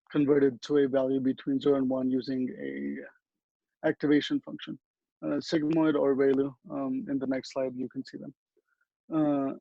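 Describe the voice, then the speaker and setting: Mellow, even-toned young man, muffled recording. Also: mid-range voice, dry recording. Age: young adult. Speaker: student presenter. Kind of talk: explanatory project presentation. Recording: dull, dry lo-fi audio.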